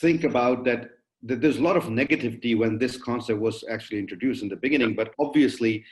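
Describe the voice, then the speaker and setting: A man moderating a panel discussion, putting wordy, polite questions to guests in a halting way. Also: mid-range voice.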